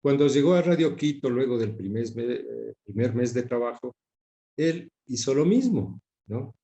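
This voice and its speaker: A middle-aged man with an Ecuadorian accent, reminiscing in an interview and often pausing to search for words. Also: medium pitch, expressive, gravelly, dark tone, highs cut off. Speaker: interview guest recalling his father's career. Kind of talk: reflective spoken recollection in an interview.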